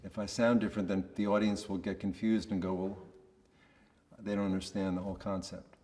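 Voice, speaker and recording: A man giving a casual, reflective interview in a monotonous voice, hesitant and understated as he searches for words. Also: low voice, a little fast, big-room sound. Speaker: voice actor being interviewed.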